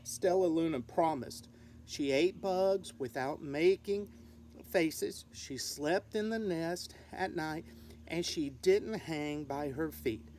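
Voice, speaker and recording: A woman reading a children's story aloud with expressive, dramatic narration. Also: low voice, slow pace, slight background noise. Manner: expressive, dramatic delivery with sound words. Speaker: storybook reader.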